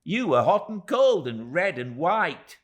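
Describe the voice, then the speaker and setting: A tiny, indignant woman from a classic storybook, acted out by a male narrator, high in pitch and swooping widely. She speaks emphatically and in distress, drawing out her words as she exclaims. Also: sing-song, a little slow. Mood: indignant, anguished. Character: tiny, passionate, indignant, tearful middle-aged woman.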